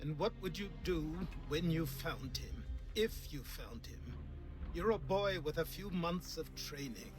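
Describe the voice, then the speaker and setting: A stern old mentor from a video game. His voice sits on the high side and he lectures bluntly and pragmatically. Music plays clearly behind him. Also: expressive, a little slow. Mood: stern, lecturing.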